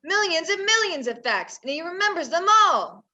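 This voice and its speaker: A woman's voice: extremely high pitch, very animated, normal speed, pushed and bright, a little hiss or hum, heavily acted. A woman playing a grandiose, declamatory music-hall master of ceremonies in a radio play. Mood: grand, showy. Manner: declamatory, showy.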